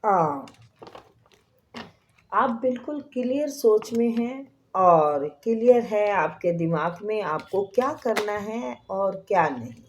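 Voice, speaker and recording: Woman tarot reader with a sore throat, unhurried and gentle, whispering prayers, faint hiss behind. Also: mid-range voice, expressive. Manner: slow, soft, drops to whispers in prayer. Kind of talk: spiritual reading to viewers.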